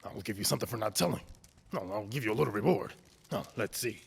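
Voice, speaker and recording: A man acting a shifty, menacing neighbour with a guilty secret in an old-time radio drama, halting and evasive. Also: lowish voice, lively intonation, gravelly, background noise. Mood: nervous, coaxing.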